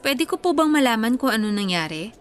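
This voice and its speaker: An actress in a Filipino radio drama playing a college instructor who is assertive, courteous and direct. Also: female voice, a little high, big pitch swings, fairly quick. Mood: concerned, polite. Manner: polite, direct.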